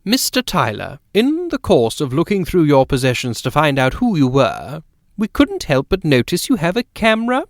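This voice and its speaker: A man's voice: medium pitch, sing-song, moderate pace, vocal fry, hardly any pauses, no echo, studio quality, voice pushed up. A man voicing a talkative, curious well-to-do woman who is eager and inquisitive.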